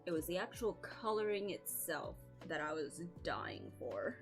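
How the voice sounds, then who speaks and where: Slow-talking woman with a scratchy voice. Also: low-pitched, big pitch swings, gravelly, music in the background. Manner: casual, apologetic, swears and laughs often. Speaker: streamer. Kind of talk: casual live-stream chat.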